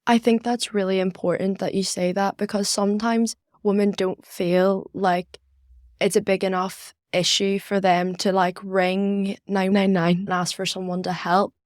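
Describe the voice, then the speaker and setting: A woman's voice: medium pitch, little pitch movement, measured pace, a little mellow, no room sound, clean studio sound. A young woman with a Northern Irish accent interviewing guests conversationally as a podcast host.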